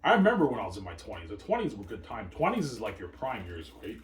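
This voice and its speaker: A man's voice: lowish voice, big pitch swings, fast. A man in his thirties rambling boastfully in a casual monologue, tagging "right?" and "obviously" onto his points. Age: 30s. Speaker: channel host. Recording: distant mic with faint noise.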